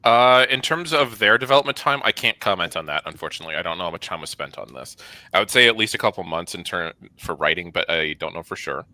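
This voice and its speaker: Deep, very bright-voiced man. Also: expressive, fast talker, no room sound. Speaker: guest, comics and art studio member. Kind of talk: casual interview answers.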